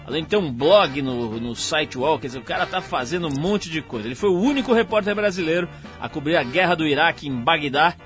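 A man's voice: fairly high pitch, a bit bright. A man with a Brazilian Portuguese accent hosting a talk show, introducing his guest and chatting in a loose, jokey way that corrects itself as he goes. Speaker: radio show host.

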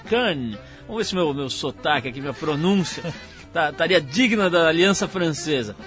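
Chatty Brazilian talk show host. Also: male voice, fairly high pitch, a bit bright. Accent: Brazilian Portuguese. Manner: chatty, jokey, self-correcting. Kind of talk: hosted talk show introduction and interview.

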